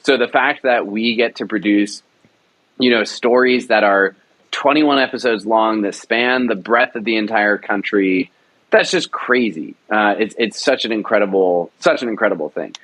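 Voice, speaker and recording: A man's voice: lowish voice, normal speed, a bit bright, low-quality recording. A man producer talking in an interview, enthusiastic and rambling, with many restarts. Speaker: radio drama producer. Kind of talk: casual interview talk.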